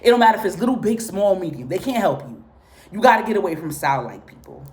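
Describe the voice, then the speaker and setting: Blunt, low-voiced woman swearing freely. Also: sing-song, quick pace, darkish tone. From Guatemala and Honduras. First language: Spanish. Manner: blunt, profane, emphatic and repetitive. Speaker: tarot and spiritual reader. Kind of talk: casual spoken reading with commentary.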